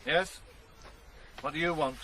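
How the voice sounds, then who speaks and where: An actor playing a prim, middle-aged householder in a radio drama, speaking formally and with stiff disapproval. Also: male voice, fairly high pitch, unhurried, bright, forceful tone. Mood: indignant. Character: stiff, indignant male householder and employer.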